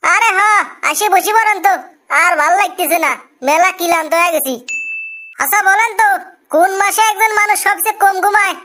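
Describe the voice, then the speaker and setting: Cartoon interviewer: extremely high voice, Bangladeshi accent, tired, grumbling, rustic, easily impressed. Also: female voice, fairly quick, sharp tone, a little hiss or hum. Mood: weary, quizzing. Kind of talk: comic cartoon dialogue.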